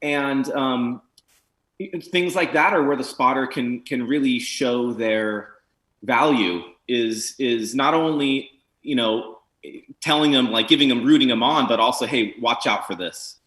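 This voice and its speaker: Relaxed man in an echoey room. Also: medium pitch, a little slow. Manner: relaxed, rambling, laughs easily. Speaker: team manager and spotter. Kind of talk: interview on a video call.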